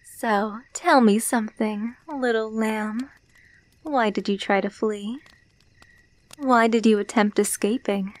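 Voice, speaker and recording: A menacing female alpha werewolf, acted by a woman in an ASMR roleplay, speaking very slowly in a fairly high voice. She is possessive and teasing, toying with her captive and slipping into whispers. The sound is close and dry. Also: dry recording. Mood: cold menace. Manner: slow, teasing, domineering, dropping into whispers.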